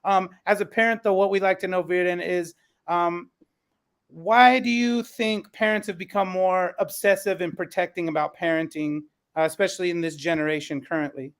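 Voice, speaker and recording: A man's voice: high-pitched, steady tone, moderate pace. A man moderating a panel discussion in a conversational way, as its host. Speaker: panel host and elementary school teacher.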